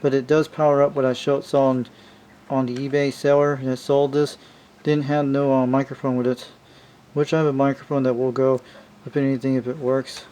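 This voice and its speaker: A man's voice: medium pitch, flat delivery, brisk, warm tone. A man giving a casual, rambling hands-on show-and-tell as a radio hobbyist, speaking informally to his viewers. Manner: informal, rambling, addressing viewers as you guys. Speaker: hobbyist showing a vintage CB radio. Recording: noisy amateur home video.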